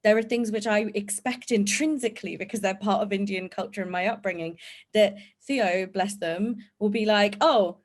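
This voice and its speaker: A woman speaks as a podcast guest. She is candid and animated, laughs easily, and has a medium-pitched voice. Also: moderate pace. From England. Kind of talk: conversational podcast discussion.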